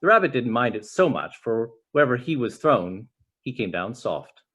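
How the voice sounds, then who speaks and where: Animated man reading a storybook aloud. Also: medium pitch, normal speed. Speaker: storybook reader. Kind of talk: expressive story narration read aloud.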